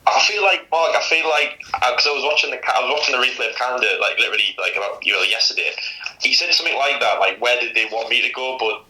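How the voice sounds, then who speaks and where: A man's voice: mid-range voice, quick pace, sharp tone, slight background noise, low-quality recording. A man chatting casually as a contestant in a quiz, over a call with radio-like sound. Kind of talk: casual chat.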